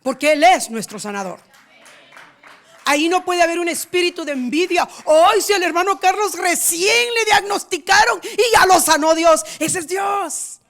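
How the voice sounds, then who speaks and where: A woman pastor preaching a sermon in a rhythmic, repetitive style, moving between loud stressed lines and quiet asides. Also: very high-pitched, normal speed. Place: church in San Diego.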